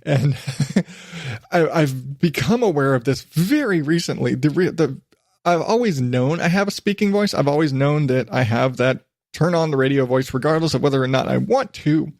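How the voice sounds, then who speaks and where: A chatty man hosting his own podcast, talking in a rambling, self-deprecating way. His voice sits a little high for a man. The recording is close and dry, with almost no room sound. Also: lively intonation, normal speed, creaky, darkish tone, no echo. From western United States. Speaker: podcast host. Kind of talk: rambling solo podcast monologue. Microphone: studio microphone.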